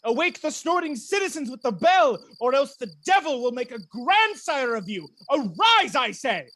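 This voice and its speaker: A man's voice: very high-pitched, big pitch swings, normal speed, pushed and bright, no echo, heavily acted. A man playing a bitter, scheming military ensign in a Shakespeare radio play, theatrical and sardonic. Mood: urgent, taunting. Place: recorded at home over a video call.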